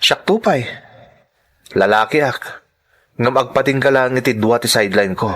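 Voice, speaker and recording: A man's voice: mid-range voice, moderate pace, a bit bright, close to an everyday voice. A man with a Filipino accent acting a concerned friend and fellow migrant worker in an audio drama, earnest and solicitous. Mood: caring, advising. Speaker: drama actor.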